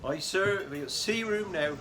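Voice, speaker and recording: A man's voice: high-pitched, measured pace, sharp tone, slight background noise. A man playing a dutiful, deferential sailor in a radio play.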